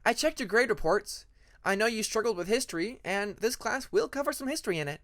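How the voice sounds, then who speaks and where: A nurturing female dragon teacher played by a man speaks in a raised, high-set voice. She is kind and welcoming, a storybook mentor for young students. The sound is close and dry. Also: animated, fairly quick, a bit bright, no echo. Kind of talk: fan fiction audiobook character voice.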